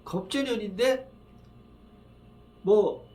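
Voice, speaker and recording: A man with a cold and a roughened voice, tired from just waking up, talking in a rambling, halting way with many fillers as he gives fortune readings in a casual solo video. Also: high-pitched, darkish tone, slight background noise. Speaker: saju fortune reader.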